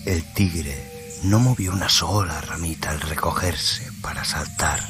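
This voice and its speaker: Deep, slow male storyteller over music. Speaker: narrator. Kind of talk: dramatic scripted storytelling, radio-play narration. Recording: music bed under the voice.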